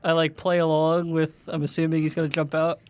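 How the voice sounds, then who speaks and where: A man chatting and role-playing casually as a player in a tabletop game, with halting, deadpan phrasing. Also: fairly high pitch, clean tone. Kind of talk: casual improvised role-play and chat.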